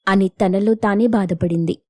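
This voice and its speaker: A woman's voice: mid-range voice, steady tone, moderate pace, darkish tone, no echo. Woman narrating, close dry sound. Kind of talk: scripted moral story narration.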